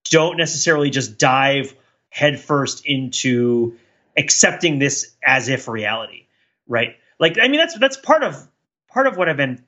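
A middle-aged man co-hosting a podcast, casually talking about pop culture in a rambling, self-reflective, animated way. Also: mid-range voice, unhurried, muffled recording. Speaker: podcast co-host.

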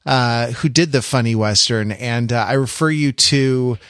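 A man's voice: medium pitch, measured pace, fairly bright tone, clear, resonant tone, dry recording. Dry, wry man musing aloud. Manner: digressive, wry, thinks aloud. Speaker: podcast co-host. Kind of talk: casual pop-culture discussion.

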